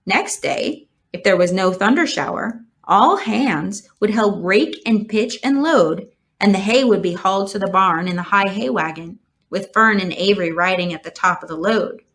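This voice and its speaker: A woman's voice: medium pitch, lively intonation, normal speed, a bit bright. Woman reading a children's storybook aloud. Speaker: storybook reader. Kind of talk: read-aloud children's novel narration.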